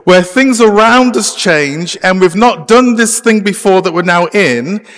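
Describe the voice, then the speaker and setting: English pastor preaching in a church. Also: male voice, sits high, lively intonation, measured pace, in a large hall. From England. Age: middle-aged. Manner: warm conversational preaching with frequent uh fillers. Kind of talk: sermon. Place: church sanctuary.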